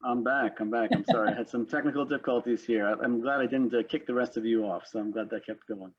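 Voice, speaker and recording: A man's voice: mid-range voice, steady tone, fast, husky. A man hosting an online panel discussion in semi-formal talk, over a video call. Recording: thin phone-like video call audio.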